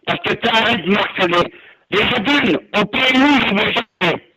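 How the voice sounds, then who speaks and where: A man's voice: high voice, lively intonation, normal speed, over a noisy crowd. A man arguing heatedly on a phone call, indignant and threatening, repeating himself. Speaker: prank call target.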